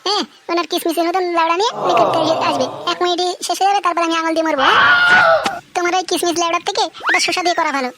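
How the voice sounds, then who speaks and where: Woman voicing a shrill, foul-mouthed young wife. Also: steady tone, rapid-fire, heavy background noise. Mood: scornful. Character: bored young wife, crude and fed up. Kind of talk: comic cartoon dub. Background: loud background music.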